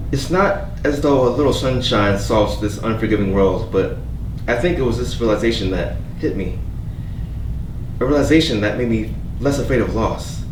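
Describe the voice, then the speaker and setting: A man playing a gentle, hopeful, quietly wounded character who speaks with halting sincerity in a staged play reading. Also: mid-range voice, natural voice. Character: gentle, earnest, hopeful stranger named Avery, quietly wounded. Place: theatre staged reading, recorded from a distance. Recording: echoey room, distant mic, background noise.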